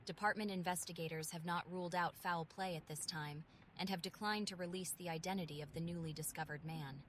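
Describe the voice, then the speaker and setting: A woman playing a composed television news reporter in a video game, with formal, measured broadcast delivery. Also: on the low side, fairly even intonation, a bit bright, slight background noise, natural voice. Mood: serious, formal.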